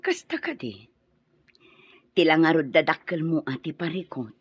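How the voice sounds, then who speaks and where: A woman's voice: sits low, sing-song, normal speed, husky, muffled recording, heavily acted. An actress playing a supportive, composed woman in authority in an Ilocano radio drama.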